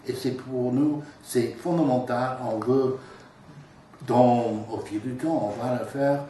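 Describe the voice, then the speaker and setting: Measured man in a roomy echo. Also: medium pitch, steady tone, mellow. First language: English. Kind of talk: thoughtful interview answers. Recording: echoey room, from far off, faint noise.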